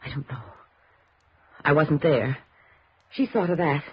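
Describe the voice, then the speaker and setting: An actress playing a suspicious, grieving daughter in a 1950s radio drama, halting and emotionally insistent. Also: female voice, low voice, breathy edge, exaggerated voice. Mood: bitter, suspicious. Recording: dull, noisy old radio recording.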